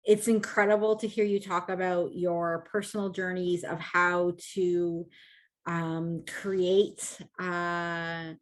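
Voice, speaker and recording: A woman in the audience of an online panel, speaking haltingly with many pauses. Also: fairly low pitch, flat delivery. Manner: hesitant thanks, halting. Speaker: audience participant. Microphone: video call.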